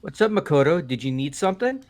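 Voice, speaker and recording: A man's voice: on the high side, big pitch swings, quick pace, a little mellow, soft background music. A man voicing a goofy, bumbling, evasive young character in a video game read-through, hesitant and casual. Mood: surprised. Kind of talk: hobby video game voice acting.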